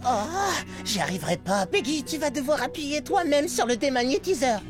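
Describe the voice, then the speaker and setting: High-pitched excitable cartoon microbe. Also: female voice, moderate pace, over music. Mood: exasperated. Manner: frantic, exasperated, expressive. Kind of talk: French animated cartoon dub.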